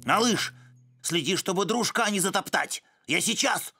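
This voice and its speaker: With music behind, a studious cartoon boy inventor. The voice sits at a middling pitch but moves about animatedly, scholarly and earnest in manner. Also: expressive, moderate pace, bright, forceful tone. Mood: concerned. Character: bookish, serious young boy pup inventor. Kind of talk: animated children's series voice acting.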